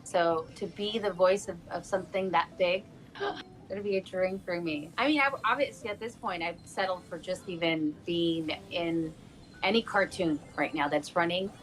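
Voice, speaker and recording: A woman's voice: lowish voice, moderate pace, a bit bright, low-quality recording. A woman being interviewed as a voice actor, chatting casually in a playful, self-joking way. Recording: music behind the talk.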